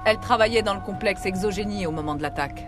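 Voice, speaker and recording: A middle-aged mother in a video game , played by an actress, with a medium-pitched voice. She is worried and earnest, pleading as she speaks. Clear music runs behind her. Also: quick pace, close to an everyday voice. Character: worried, pleading mother of a missing grown daughter. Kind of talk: French video game dub.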